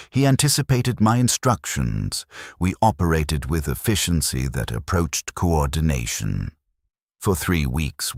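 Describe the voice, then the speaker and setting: A man reading a scripted first-person war story in a detached, clinical and measured manner. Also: extremely low pitch, dry recording, studio quality. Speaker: narrator of a science fiction war story.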